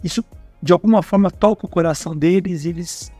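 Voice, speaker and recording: A Brazilian man telling stories as a guest at a career fair, chatty and animated, who talks with his hands and keeps checking in with tag questions. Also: sits high, fairly quick, dry recording, soft background music. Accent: Brazilian Portuguese. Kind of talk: casual storytelling interview.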